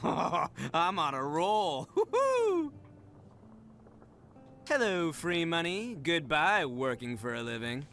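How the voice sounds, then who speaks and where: A man's voice: a little high, wildly swooping pitch, slow pace, a bit bright, faint music behind, heavily acted. Boisterous, wildly swooping cocky guy. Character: boisterous, cocky, carefree young man. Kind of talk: video game voice lines.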